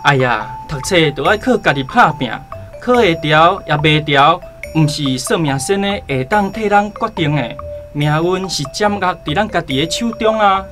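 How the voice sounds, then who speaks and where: A man's voice: a little high, animated, normal speed, a bit bright, faint music behind. An actor playing an earnest young male scholar in a radio drama, with a Taiwanese Hokkien accent.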